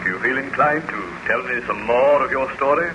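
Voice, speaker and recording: An actor playing a stolid, courteous ship's captain, speaking formally in 1930s radio drama style. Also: male voice, mid-range voice, brisk, lo-fi sound, natural voice. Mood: grave. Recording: noisy 1930s radio recording.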